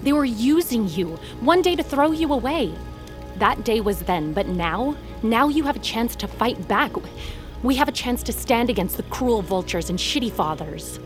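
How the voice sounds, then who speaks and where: A woman's voice: a little high, expressive, normal speed, music in the background, lightly acted. A woman playing a blunt, wry, hardened fugitive in a fantasy audio drama. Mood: bitter, defiant.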